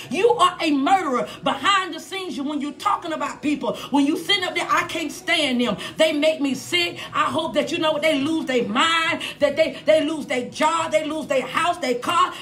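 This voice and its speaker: A woman's voice: high-pitched, fairly bright tone. An American woman preaching a fiery sermon in an emphatic, confrontational manner, repeating phrases and firing questions at her listeners.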